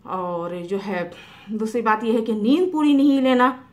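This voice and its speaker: A middle-aged woman homeopathic doctor, explaining remedies to camera in an unhurried way with many fillers, in a small room. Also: a little high, expressive. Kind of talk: health advice talk to camera. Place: small indoor room by a curtained window.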